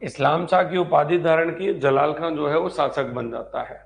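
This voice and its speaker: A man's voice: a little high, normal speed, gravelly. A man giving an informal live history class as a teacher, instructive, repeating key points and checking in with students. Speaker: history teacher for exam preparation.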